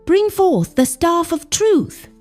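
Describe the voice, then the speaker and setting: A woman's voice: very animated, slow pace, a little mellow, faint music behind, exaggerated voice. A woman voicing a stern, commanding village king in a folktale, declaiming his orders. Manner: booming, declamatory.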